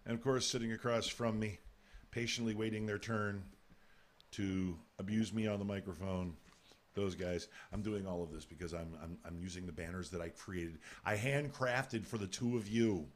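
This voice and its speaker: A man hosts a live radio show in a low, booming voice. He talks casually and rambles, restarting phrases as he thinks aloud. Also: normal speed. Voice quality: big booming voice.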